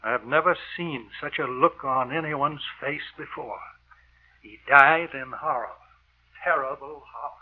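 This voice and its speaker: An actor playing an alarmed, grave doctor in urgent, dramatic 1940s radio drama style. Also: male voice, mid-range voice, a little slow, phone-quality sound, close to an everyday voice. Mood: grave horror. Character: alarmed, grave doctor finding a dead man. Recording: noisy old radio recording.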